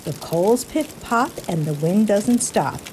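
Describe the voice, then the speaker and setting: A woman reading a children's picture book aloud in an animated, deliberate storytelling manner with playful emphasis. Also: medium pitch, noisy background. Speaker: storybook reader.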